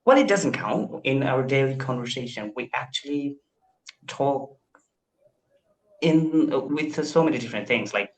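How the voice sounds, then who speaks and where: A man's voice: medium pitch, expressive, unhurried, poor audio. Hesitant young Indian man on a video call. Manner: hesitant, repeats words when starting sentences. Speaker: English learner. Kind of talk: casual conversation practice.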